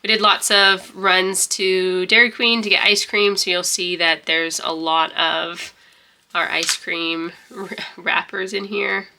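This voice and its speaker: A woman's voice: fairly low pitch, steady tone, slow pace, sharp tone. A young woman with a stuffy, congested voice, chatting casually and rambling through a journal flip-through. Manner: rambling, chatty, many fillers and restarts. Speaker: journaling vlogger.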